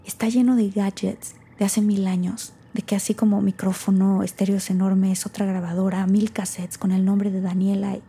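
A woman's voice: medium pitch, flat delivery, moderate pace, hardly any pauses, dark tone, breathy edge. A woman playing an anxious, defensive daughter in a naturalistic audio drama, with a Mexican accent and a colloquial, hesitant, emotional delivery. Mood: startled, rattled. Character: anxious, defensive daughter unravelling family secrets.

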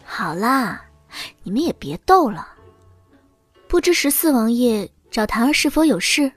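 High-voiced young princess consort, a woman acting demure. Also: sing-song, no echo, soft background music. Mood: nonchalant, polite. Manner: polite, unhurried, slyly nonchalant. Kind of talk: Mandarin audio drama acting.

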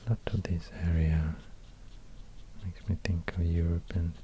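Slow whispering man with a Swedish accent. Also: low-pitched, little pitch movement, warm tone, a little hiss or hum. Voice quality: breathy whisper. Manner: slow, hesitant, murmuring to the listener. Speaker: ASMR creator. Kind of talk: soft-spoken whispered ASMR. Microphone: close binaural microphone.

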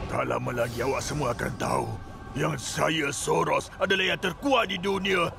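A man playing an arrogant, power-hungry armored villain in a children's cartoon, menacing, boastful and gravelly. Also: fairly high pitch, lively intonation, normal speed, loud music behind the voice. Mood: gloating. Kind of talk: children's animated series acting.

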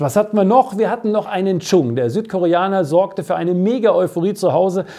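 A man's voice: on the high side, dark tone. A middle-aged man hosting a sports show, chatty, colloquial and jokey with his viewers. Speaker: host of a weekly tennis show. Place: small cluttered basement studio.

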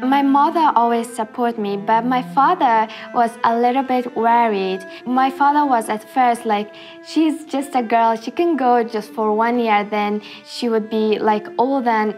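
A woman's voice: on the high side, steady tone, measured pace, few pauses, darkish tone, soft background music. A sixteen-year-old Afghan girl with an Afghan accent, a cellist speaking hesitantly and casually in a documentary interview, in an indoor room. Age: teens. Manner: hesitant, casual, many "like" fillers. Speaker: musician.

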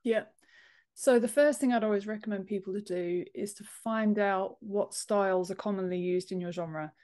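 A woman's voice: mid-range voice, moderate pace, darkish tone. A woman in her thirties giving explanatory answers as an interview guest on a video call. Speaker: podcast guest, book formatter. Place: home office, indoors, on a video call. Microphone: wired earphones on a video call.

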